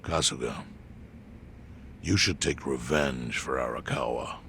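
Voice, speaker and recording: An actor playing a calm, solemn older yakuza clan chairman in a video game, speaking in a measured, deliberate way. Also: extremely low pitch, a little hiss or hum, full character voice. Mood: grave. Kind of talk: video game cutscene dub acting.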